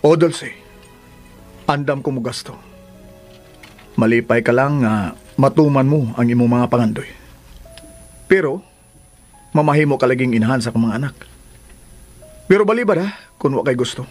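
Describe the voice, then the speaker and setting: Actor as coaxing Cebuano suitor, faint music behind. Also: male voice, medium pitch, moderate pace, long pauses, a little mellow, close to an everyday voice. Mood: earnest, coaxing. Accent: Cebuano Filipino. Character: generous, insistent male suitor courting a young woman. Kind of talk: radio drama acting.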